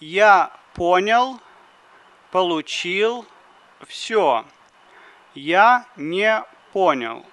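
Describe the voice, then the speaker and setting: A man slowly reading phrases aloud for a language lesson, carefully articulating each one. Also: high-pitched, animated, a bit bright. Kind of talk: slow phrasebook drill reading.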